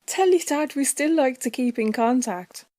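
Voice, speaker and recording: Woman doing a sweet dead grandma ghost, high gentle voice, chatty and loving, story narration style. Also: expressive, moderate pace, dry recording, clean studio sound. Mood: chatty, affectionate. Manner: affectionate, doting. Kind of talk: dramatised storytelling dialogue.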